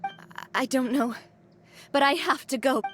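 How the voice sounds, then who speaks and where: A woman's voice: sits high, unhurried, slight background noise. A woman voicing a proud, spirited young woman leader in a video game, with bold declarations and battle cries. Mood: troubled.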